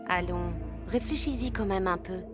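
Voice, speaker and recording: A woman's voice: fairly low pitch, normal speed, over music, lightly acted. A woman voicing a smug, condescending female cartoon villain who taunts in a theatrical way. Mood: taunting, grandiose. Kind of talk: animated series dub.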